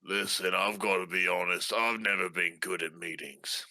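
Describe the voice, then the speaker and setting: A man playing a tabletop role-playing game, improvising in character in a halting manner. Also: low voice, fairly even intonation, gravelly, sharp tone, dry recording, studio quality.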